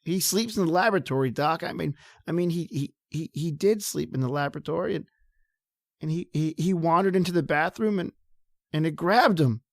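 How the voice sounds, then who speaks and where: A woman's voice: low-pitched, a little fast, a little mellow, dry recording, studio quality. A woman playing a stammering, frightened male lab assistant. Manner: stammering, pleading. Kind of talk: old-time radio horror drama acting.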